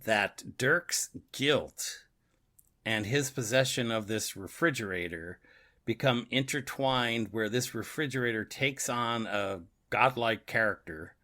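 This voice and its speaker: A man's voice: medium pitch, slow, pushed and bright. A man co-hosting a podcast, casually discussing a book and its radio adaptation, often chuckling as he talks. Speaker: podcast co-host.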